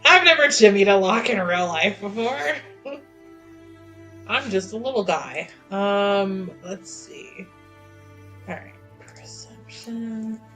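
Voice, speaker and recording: A woman chats as a player in a tabletop game. Her pace is slow as she thinks aloud in a playful, casual way, with soft music in the background. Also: mid-range voice, animated.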